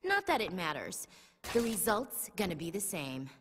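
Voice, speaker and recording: Brash young assassin in a reverberant hall. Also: female voice, fairly low pitch, huge pitch swings, normal speed, a little hiss or hum. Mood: bored, defiant. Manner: curt, dismissive. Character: cool, brash young female sword-fighting assassin. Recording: long hall reverb, music behind.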